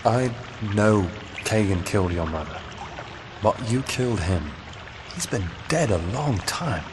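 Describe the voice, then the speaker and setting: Game ally: low, measured, concerned voice over noisy watery ambience. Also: male voice, lively intonation. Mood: serious. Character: calm, concerned male ally of a vampire hunter. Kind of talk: video game acting.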